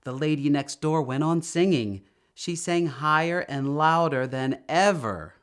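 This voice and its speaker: A man reading a children's story aloud in an expressive, deliberate storytelling manner. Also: fairly high pitch, clean tone. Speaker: storybook reader.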